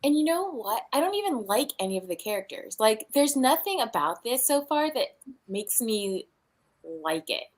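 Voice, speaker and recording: A woman's voice: a little high, sing-song, normal speed. A woman chatting casually about books on a livestream, with a blunt, exasperated way of talking. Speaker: book reading livestream host.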